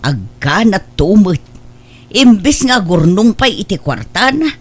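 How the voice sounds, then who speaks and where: A woman's voice: mid-range voice, big pitch swings, a little slow, slightly rough. A woman playing a nagging, practical older mother of a groom in a radio-style comedy drama.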